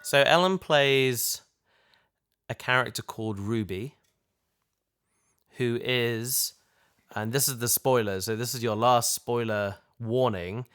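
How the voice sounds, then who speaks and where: A man's voice: on the low side, fairly bright tone, clear, resonant tone. A man hosting a podcast, chatting casually at home as someone who makes the show. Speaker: podcast host, show creator. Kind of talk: casual chat. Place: in his house.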